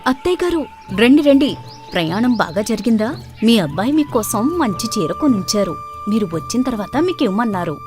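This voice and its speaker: A woman's voice: a little high, very animated, moderate pace, a little mellow, soft background music. A woman voicing a scheming, grasping young daughter-in-law in a storybook tale, with an emphatic manner. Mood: sugary welcome.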